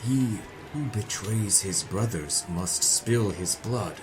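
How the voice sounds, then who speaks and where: A man's voice: lowish voice, slow, over music. A man acting a menacing cult enforcer in an audio drama, chanting coldly and solemnly. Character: menacing male cult enforcer, cold and ritualistic.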